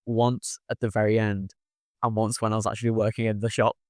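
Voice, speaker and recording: A man's voice: on the low side, level tone, brisk, dry recording, clean studio sound. A young university student from northwest England, chatting casually and filling his talk with "like" and "you know what I mean.". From Wirral, England. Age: young adult. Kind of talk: casual conversation.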